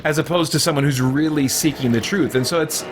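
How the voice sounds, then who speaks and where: A man's voice: on the high side, brisk, noisy background. A middle-aged man giving casual let's play commentary, musing and thinking aloud as he goes. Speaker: gaming video host. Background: music.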